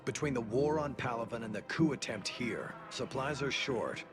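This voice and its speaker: An actor playing a stern, overstretched official in a video game, firm and curt. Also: male voice, mid-range voice, expressive, moderate pace, music in the background, natural voice. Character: stern, overstretched male official refusing pleas.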